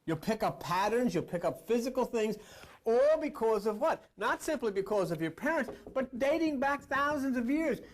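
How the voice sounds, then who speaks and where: A high-voiced man speaks as a spiritual teacher. He lectures in a sermon style, stressing key points through repetition and putting rhetorical questions to his listeners. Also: lively intonation, moderate pace. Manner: emphatic, repeats phrases for effect, rhetorical questions. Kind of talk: esoteric sermon lecture to an audience.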